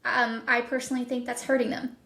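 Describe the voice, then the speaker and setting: A woman's voice: fairly high pitch, little pitch movement, fast, pushed and bright. A woman chatting casually and emphatically as an enthusiastic podcast guest who produces indie audio drama. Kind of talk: casual interview chat. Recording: roomy, echoing voice.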